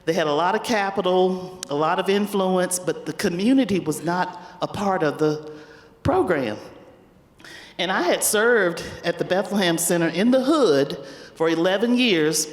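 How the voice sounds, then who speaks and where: A woman's voice: fairly low pitch, lively intonation, measured pace. A woman with a South Carolina accent, speaking as a panelist on stage and telling folksy, humorous stories about her community work. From Spartanburg, South Carolina. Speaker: panelist, community foundation leader. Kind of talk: panel talk with personal storytelling. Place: large event hall on stage. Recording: echoey hall with long reverb.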